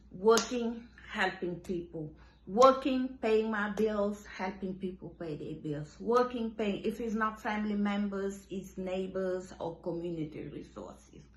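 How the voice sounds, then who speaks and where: Slow, emphatic woman in a roomy space. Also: medium pitch, slight background noise. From Senegal. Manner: emphatic, repeats and restarts phrases. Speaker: commentator. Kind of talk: impassioned monologue on politics. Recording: roomy echo.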